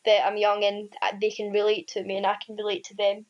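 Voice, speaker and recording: A young woman with a strong Ayrshire Scottish accent speaks in an even, flat tone. She answers with hesitations and restarts, in the manner of a student teacher talking through her experience. The sound is dull and lo-fi, like audio from a call. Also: mid-range voice, fast. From Scotland. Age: young adult. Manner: hesitant, many ums and restarts. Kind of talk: casual interview answers.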